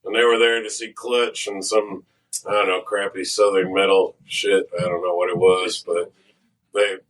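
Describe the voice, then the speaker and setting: Middle-aged American band member, low voice, casual storyteller, recorded from a distance. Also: male voice, fairly bright tone. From United States. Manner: laid-back, swears casually, enthusiastic storyteller. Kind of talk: casual chat. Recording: voice picked up from across a room.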